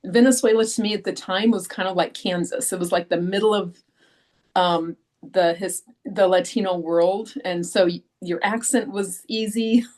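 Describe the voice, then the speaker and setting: A woman's voice: medium pitch, fairly even intonation, normal speed, a little mellow. A middle-aged woman chats casually as a podcast guest. She talks in loose, rambling runs, full of ums and self-corrections, and laughs easily. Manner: chatty, frequent ums and restarts, laughs easily. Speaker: author guest. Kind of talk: casual interview chat.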